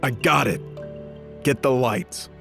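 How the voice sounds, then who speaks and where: A man acts out a bitter, foul-mouthed fallen archangel in an audio drama. He speaks at a slow pace, cynical and quick to temper, over clearly audible music. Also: mid-range voice, a bit bright. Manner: cynical, combative, cursing. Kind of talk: dramatized audio fiction acting. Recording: music behind the voice.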